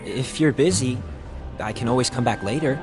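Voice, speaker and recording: An actor playing an earnest, polite young apprentice, hesitant and deferential in a game cutscene. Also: male voice, mid-range voice, moderate pace, natural voice. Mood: hesitant, curious. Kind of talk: cinematic game cutscene acting. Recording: music behind the voice.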